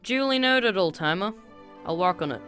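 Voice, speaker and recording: A man acts out a cheeky teenage boy sidekick from comic-book drama. The voice is high and extremely bright, almost sharp. He sounds eager and cocky, with faint music behind him. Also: lively intonation, moderate pace. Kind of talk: comic dub dramatic acting.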